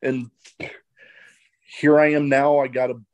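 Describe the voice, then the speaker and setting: A man's voice: medium pitch, little pitch movement, measured pace, a little mellow. A man hosting a podcast, talking with a guest in a laid-back, conversational way. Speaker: podcast host. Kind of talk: casual interview chat.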